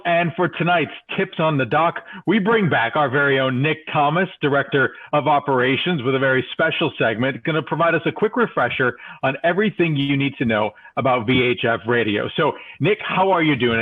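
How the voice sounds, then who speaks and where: A man's voice: mid-range voice, narrow, tinny audio. An American man in his forties hosting a live interview in a scripted style over a video call. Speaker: show host. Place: indoors, video call with virtual background. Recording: slightly band-limited video call audio.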